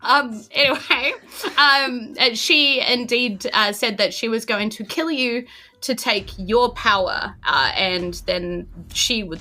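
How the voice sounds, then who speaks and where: A woman hosting as dungeon master, narrating a tabletop game recap in an excited way. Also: medium pitch.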